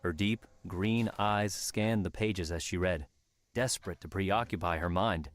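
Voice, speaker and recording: Low-voiced male audiobook narrator. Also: a little slow. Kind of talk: scripted narration of a fantasy adventure novel.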